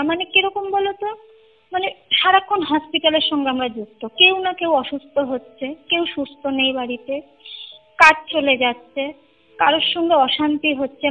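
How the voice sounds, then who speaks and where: High-pitched young Kolkata woman on the phone. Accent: Kolkata Bengali. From Kolkata, India. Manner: emphatic, repeats points and checks the listener follows. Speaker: caller recounting a personal experience. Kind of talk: conversational personal storytelling. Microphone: phone call. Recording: tinny phone line with music underneath. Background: clear music bed.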